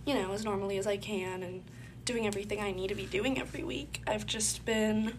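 A woman's voice: medium pitch, fairly even intonation, fairly quick, a little hiss or hum. A young woman hosting her own podcast in casual, rambling chat, with a congested, phlegmy-sounding voice. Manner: rambling, filler-heavy, chatty. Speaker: podcast host.

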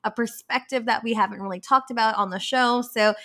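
A woman's voice: a little high, fairly quick, sharp tone. A woman hosting a casual podcast interview, chatty, with lots of fillers and frequent laughs. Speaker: podcast host.

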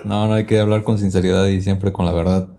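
A man's voice: low-pitched, flat delivery, a little fast, gravelly, dark tone, slight background noise. A man in his thirties who grew up in Veracruz, a musician co-hosting a podcast, giving short, joking answers in a church live room. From Veracruz, Mexico. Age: 30s. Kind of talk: casual podcast chat.

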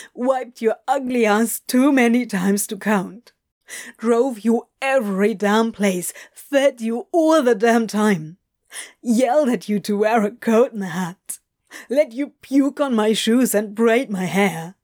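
A woman voicing a fierce, protective parent who is gruff but tender, speaking emphatically in an audiobook story. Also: on the high side, takes it slowly, a little mellow. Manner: emphatic, sweary, repeats words.

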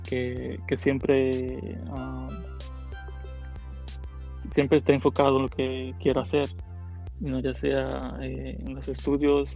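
Give me a hesitant man in his thirties with a gravelly voice, answering questions casually. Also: medium pitch, a little mellow, muffled recording. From Dominican Republic. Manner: hesitant, many fillers, mixes in English words. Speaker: interview guest, content creator.

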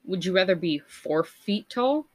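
A woman's voice: expressive, measured pace. Giggly young woman reading poll questions. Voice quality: low voice. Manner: giggly, loose and chatty. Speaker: podcast co-host. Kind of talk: casual podcast chat, reading out poll questions.

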